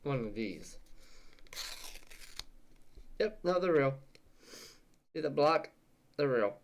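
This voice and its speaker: A man's voice: on the high side, expressive, slow. A young man with a stuffy, sniffly voice, rambling and swearing casually while opening card packs for his channel. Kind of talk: casual unboxing vlog chat.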